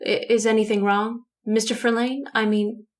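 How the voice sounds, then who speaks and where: A woman's voice: on the high side, flat delivery, normal speed, close to an everyday voice. An actress playing a chatty, officious and obliging woman clerk in a radio drama, fussily repeating herself.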